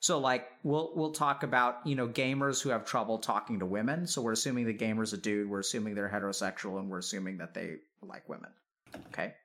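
A man's voice: fairly low pitch, fairly even intonation, quick pace, fairly bright tone. A man in a conversational counseling interview, reflective and probing, building his points step by step and checking in with questions.

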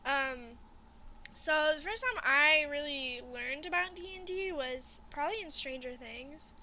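A young woman with a high, bright voice leads a relaxed chat among friends. She sounds upbeat and sprinkles her lines with fillers while she moves things along. A faint noise sits behind her. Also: measured pace. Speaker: podcast host. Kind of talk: casual chat.

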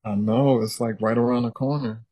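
A man's voice: mid-range voice, steady tone, moderate pace, dark tone, dry recording. A man appearing as a guest on a podcast in a casual chat, laid-back and joking.

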